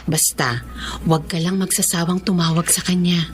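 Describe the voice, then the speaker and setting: A woman's voice: on the low side, fairly even intonation, moderate pace, soft background music, natural voice. A woman with a Filipino accent playing a caring, encouraging teacher of blind students in a radio drama, motherly and reassuring.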